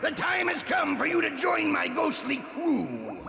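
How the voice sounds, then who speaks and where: A man voicing a menacing, gloating ghost pirate captain in a cartoon video game, with a gravelly voice and theatrical pirate speech. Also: very high voice, big pitch swings, crowd noise, full character voice. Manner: archaic pirate speech, drawn-out threats. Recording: echoing voice under loud music.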